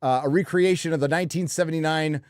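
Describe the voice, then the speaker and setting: A man's voice: fairly high pitch, steady tone, fast talker, darkish tone, smooth, no room sound. A middle-aged man hosts a live stream in an easygoing way, trading banter and reading out viewer donations. He sounds close to the microphone, with a dry sound and no room around it. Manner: laid-back, reads out donations. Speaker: podcast host. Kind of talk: casual live-stream chat.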